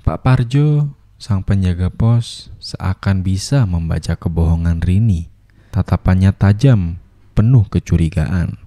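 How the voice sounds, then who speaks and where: Cast a man as a grave horror-cartoon narrator, low and very dark. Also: measured pace, dry recording. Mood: ominous.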